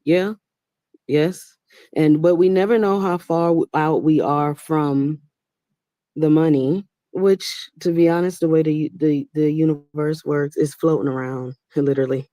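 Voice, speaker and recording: A woman's voice: sits low, fairly even intonation, measured pace, mellow, no room sound. A woman working as a Reiki master and voice actor, talking casually with encouraging spiritual advice and often repeating words as she speaks. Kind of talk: casual conversation on a live stream.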